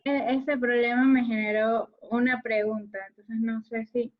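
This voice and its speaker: A woman's voice: a little high, level tone, unhurried. A young woman with a Panamanian accent, a student talking casually in an online class. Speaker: law student. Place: video call. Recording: dull lo-fi video call audio.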